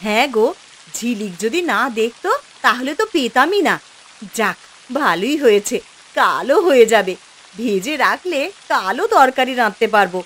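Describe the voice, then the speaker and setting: A woman voicing a poor village wife and mother in a cartoon, fretful but tender, with an expressive, homely delivery. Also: a little high, moderate pace, a little hiss or hum. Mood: caring, pleased. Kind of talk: cartoon voice acting.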